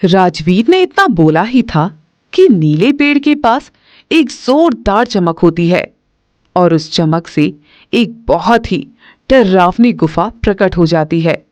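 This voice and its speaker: A woman narrating a cartoon story in an unhurried, expressive storybook manner over background music. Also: on the low side, dry recording.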